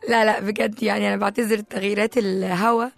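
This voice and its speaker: A woman with an Egyptian accent and a slightly hoarse voice, chatting playfully and directly to listeners as a live radio host. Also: medium pitch, level tone, fast, no echo. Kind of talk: casual live radio talk. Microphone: close studio microphone.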